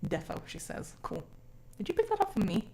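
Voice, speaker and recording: A woman from South Africa who grew up mostly abroad talks to her live stream viewers. Her delivery is casual and expressive, with an informal, sweary way of putting things. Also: on the high side, a little fast. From born in South Africa, grew up mainly overseas from age six. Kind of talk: casual chat.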